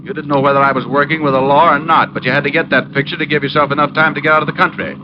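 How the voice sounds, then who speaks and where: An actor playing a wry, unflappable private detective with a dry, clipped, wisecracking delivery in an old radio drama. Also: male voice, a little high, fairly even intonation, very fast, background noise, lightly acted. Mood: breezy, needling. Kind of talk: 1940s-50s radio detective acting. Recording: dull vintage radio recording.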